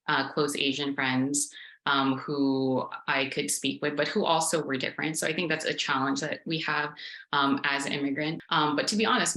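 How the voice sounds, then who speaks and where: A woman's voice: deep voice, fairly bright tone, roomy sound, poor audio. A woman in her thirties who grew up in Canada, telling her story in an interview in a reflective way, with many fillers and restarts. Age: 30s. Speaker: interviewee, business founder.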